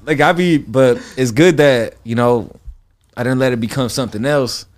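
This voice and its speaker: A man's voice: mid-range voice, normal speed. A man in his late twenties, a music producer guest telling stories in loose, rambling slang with frequent laughter. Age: 20s. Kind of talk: casual podcast interview.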